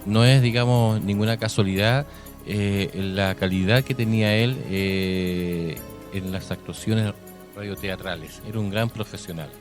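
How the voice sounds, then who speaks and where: A man's voice: on the low side, unhurried. A man with a Chilean accent hosting a conversational radio interview. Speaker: radio host. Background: music.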